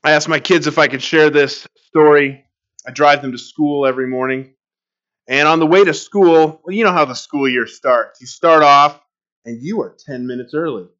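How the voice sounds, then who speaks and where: A man's voice: a little high, normal speed, fairly bright tone, dull audio. A middle-aged man preaching a sermon as a pastor, telling stories in a conversational way. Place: church sanctuary.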